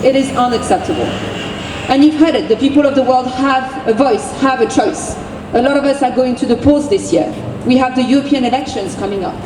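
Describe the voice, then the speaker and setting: A woman's voice: high-pitched, fairly quick, noisy background. French lady speechifying outdoors, punchy repeated lines, distant echoey street mic. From France. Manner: forceful, repetitive rallying cadence. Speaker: activist speaker at a rally. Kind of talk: impassioned outdoor rally speech. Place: outdoors on a city street at a rally.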